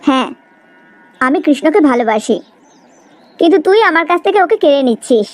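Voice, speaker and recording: Cartoon jealous young friend, woman performer: extremely high voice, accusing, melodramatic, combative delivery. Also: animated, a little fast. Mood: jealous, defiant. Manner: confrontational, dramatic.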